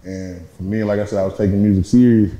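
A man's voice: steady tone, quick pace, mellow, highs cut off. A man, a rapper talking casually about his life in a laid-back, slangy way. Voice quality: deep voice. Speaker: rapper guest. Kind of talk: casual interview. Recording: echoey room.

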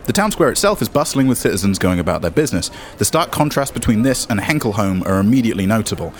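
Man, low voice, game master narration, descriptive, wry joking asides. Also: very animated, fast.

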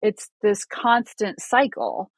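A woman's voice: medium pitch, slow pace, husky, no room sound. A woman co-hosting a podcast, chatting casually and rambling, often restarting and laughing at her own nerves. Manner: self-deprecating, rambling with restarts. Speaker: podcast co-host. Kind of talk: casual chat.

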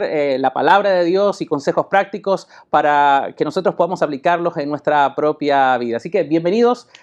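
A man, a pastor, hosting a church podcast interview in a friendly way with frequent affirmations. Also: a little high.

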